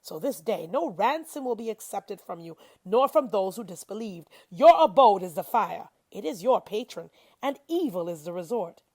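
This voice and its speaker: A woman reading scripture aloud in a solemn, declamatory style, with deliberate and emphatic phrasing. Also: mid-range voice, lively intonation, darkish tone. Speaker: reader of scripture.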